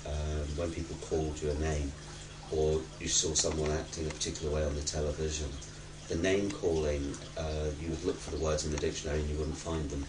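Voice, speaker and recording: Casting: man, extremely low voice, even intonation, halting interviewee speaking in measured phrases. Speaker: charity worker in an LGBT documentary interview. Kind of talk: documentary interview talk. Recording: roomy echo, distant mic, clear background noise.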